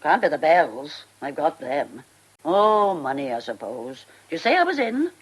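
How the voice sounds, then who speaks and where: Posh old stage diva, husky, bossy n salty, voice swoops all over, radio play. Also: female voice, low voice, big pitch swings, a little slow, exaggerated voice, low-quality recording. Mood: imperious, irritated. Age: older. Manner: theatrical, bossy, affectionately rude. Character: imperious, vain, salty old retired musical comedy actress. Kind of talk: radio drama acting.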